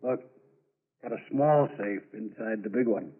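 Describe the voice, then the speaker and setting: An actor playing a smooth, bossy, cold-blooded safecracker in a 1940s radio crime drama, terse and commanding. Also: male voice, on the low side, unhurried. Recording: narrow-band 1940s radio recording.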